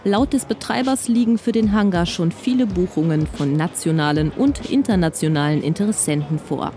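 A woman narrating a local television news report in a clear, even scripted voice. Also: lowish voice, normal speed. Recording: voice-over with music underneath.

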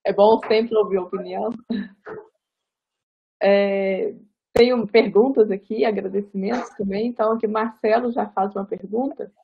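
Husky, hesitant moderator on a dull line. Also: medium pitch. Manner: hesitant, filler-heavy. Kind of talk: moderating a webinar. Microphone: video call webcam. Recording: dull lo-fi call audio.

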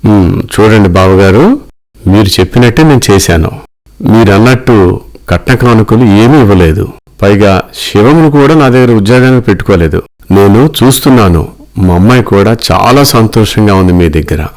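A man voicing a wealthy middle-aged businessman father in a cartoon story, measured, earnest and paternal. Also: on the low side, animated, a little mellow. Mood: earnest, emotional.

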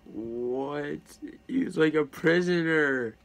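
Slow-paced young guy reacting casually. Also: a little high, vocal fry, fairly bright tone. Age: young adult. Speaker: reaction video host. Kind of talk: casual reaction vlog, slangy and profane. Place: small indoor room at home.

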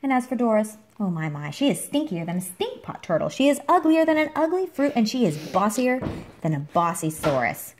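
A woman reading a picture book aloud to a child at home, expressively, with theatrical emphasis and dramatic pauses. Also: a little high, sing-song. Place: indoor room at home. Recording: home recording with clear background noise, clicks.

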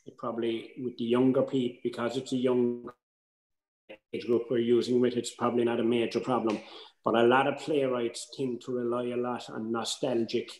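An older man giving conversational, explanatory advice as a workshop co-presenter over a video call. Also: mid-range voice. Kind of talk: informal advice to writers. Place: indoors, room at home on video call. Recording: echoey room, slightly noisy video call.

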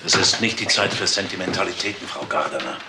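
An actor playing a slick, evasive company lawyer in a crime radio drama, curt and indignant. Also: male voice, low voice, a little fast. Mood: cold, curt. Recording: very noisy recording with clicks.

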